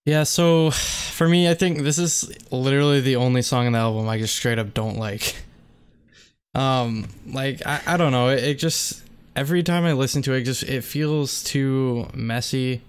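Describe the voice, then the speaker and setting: Hesitant, smooth-voiced male podcaster. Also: medium pitch, moderate pace. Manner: hesitant, hedging, many fillers. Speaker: podcast co-host. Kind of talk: casual chat, music review.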